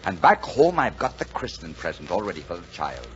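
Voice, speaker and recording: An actor playing a gruff but kindhearted bachelor delivery driver in a folksy, exclamatory 1940s radio drama style. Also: male voice, low voice, very animated, a little fast, a bit bright. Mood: warm, jovial. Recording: dull, noisy 1940s radio recording.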